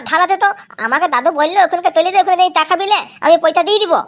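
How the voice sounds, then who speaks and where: Cheeky cartoon grandson: very high-pitched, lisping, stammering, whiny and pushy about getting fed. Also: very fast, fairly bright tone. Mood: pleading. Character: cheeky, hungry little grandson demanding sweets. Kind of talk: amateur cartoon comedy voice acting.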